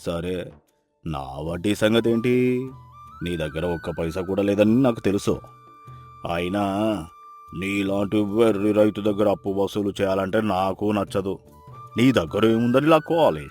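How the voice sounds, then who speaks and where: Man acting a low-voiced, sarcastic village moneylender. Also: normal speed, long pauses, darkish tone, soft background music, close to an everyday voice. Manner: sarcastic, teasing, blunt. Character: sarcastic, mocking, secretly kind-hearted male village moneylender. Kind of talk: dramatized moral story acting.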